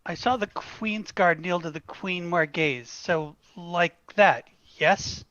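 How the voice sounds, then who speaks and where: A man's voice: sits high, slow pace, a bit bright, no room sound, lo-fi sound. A man playing a nervous, unsure young shepherd in a fantasy script read, with a hesitant, anxious delivery. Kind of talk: table read of a fantasy screenplay.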